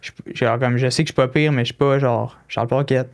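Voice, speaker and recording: Young man, Québécois accent, smooth clear voice, hesitant, lots of fillers, candid. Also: medium pitch, fairly even intonation, fast talker. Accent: Québécois French. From Quebec, Canada. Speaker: triathlete guest. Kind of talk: casual interview chat.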